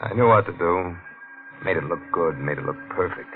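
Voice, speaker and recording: Low, gravelly haunted detective. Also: male voice, normal speed. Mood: haunted, confessing. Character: guilty police detective, a wife-killer facing execution. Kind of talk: 1940s radio thriller acting. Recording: old radio recording, dull, music behind.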